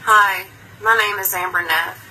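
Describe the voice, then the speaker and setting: A woman in her thirties from Kentucky, giving a plain, steady introduction of herself. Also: medium pitch, measured pace, a little hiss or hum, poor audio. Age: 30s. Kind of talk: short personal introduction.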